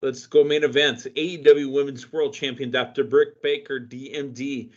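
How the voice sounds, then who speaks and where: A man's voice: medium pitch, flat delivery, normal speed. A man hosting a wrestling podcast, recapping matches in casual, upbeat chat. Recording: dull lo-fi audio.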